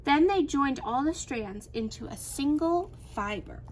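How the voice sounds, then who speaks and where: A woman reading a children's storybook aloud in an expressive, animated storytelling manner. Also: high-pitched, a little slow, a little hiss or hum. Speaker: teacher reading a picture book aloud.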